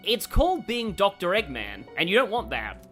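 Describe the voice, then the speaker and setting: Animated, chatty woman game streamer. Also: mid-range voice, moderate pace, faint music behind. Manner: chatty, excitable, stammers. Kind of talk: casual live game commentary.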